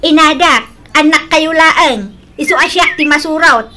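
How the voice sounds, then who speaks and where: A headstrong old mother in love in a comedy radio drama, speaks in a high voice. She is coquettish, insistent and dramatic, and the recording has clear background noise. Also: a little slow, sharp tone.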